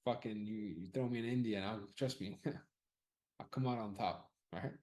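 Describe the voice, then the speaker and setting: A man's voice: lowish voice, fairly even intonation, normal speed, a little mellow. A man running a live audience poll about class times, casually thinking aloud, loose and profane, often repeating himself.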